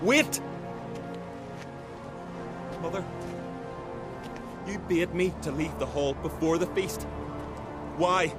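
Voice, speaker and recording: An actor playing an anguished young noble son in a fantasy game, speaking in an emotional, declamatory way. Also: male voice, on the high side, slow, pauses often, music in the background. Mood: anguished, accusing. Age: young adult. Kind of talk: dramatic fantasy game acting. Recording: dramatic score behind dialogue.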